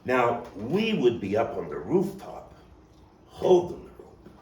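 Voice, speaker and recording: A man performing a theatrical monologue as a coarse, wry, streetwise Brooklyn man looking back on his boyhood gang, telling it with dramatic pauses and emphatic swells. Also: medium pitch, huge pitch swings, darkish tone, voice pushed up. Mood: relishing, excited. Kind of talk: theatrical monologue, table read. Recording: roomy, distant mic, faint noise.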